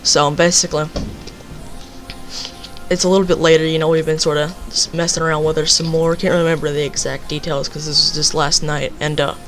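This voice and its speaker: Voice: medium pitch, level tone, brisk, fairly bright tone, faint music behind. A young person telling a casual, rambling story, leaning on filler phrases. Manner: rambling, repeats filler phrases like "basically" and "you know".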